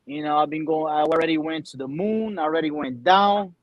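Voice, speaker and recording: A man's voice: on the high side, fairly quick, dull audio. A man from Brazil, a guest in a casual online chat, talking in a hyped, friendly way and calling people brother.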